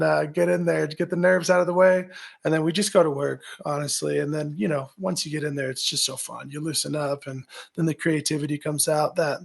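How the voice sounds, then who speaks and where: Raspy man with a gravelly edge. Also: on the high side. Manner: energetic, pep-talk delivery with repeated "right?". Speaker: musician guest, former baseball pitcher. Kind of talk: casual interview talk.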